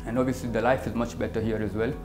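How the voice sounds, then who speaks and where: A Kenyan man in his thirties, an optician and business owner, answering interview questions in a measured way, with many fillers. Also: medium pitch, little pitch movement. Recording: echoey room with music behind.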